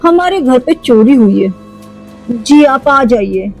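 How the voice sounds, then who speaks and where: A woman's voice: high voice, lively intonation, takes it slowly, soft background music, heavily acted. A woman voicing an obliging man of the house in a toy cartoon story, polite and compliant.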